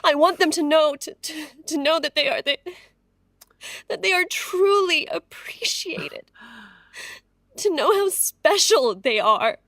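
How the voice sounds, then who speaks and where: A high-voiced, pleading, distraught mother. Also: expressive, a bit bright, no room sound, studio quality, heavily acted. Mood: grieving, earnest. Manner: halting, emotional, earnest. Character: distraught, pleading mistress, devoted mother of two sons. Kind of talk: period audio drama acting.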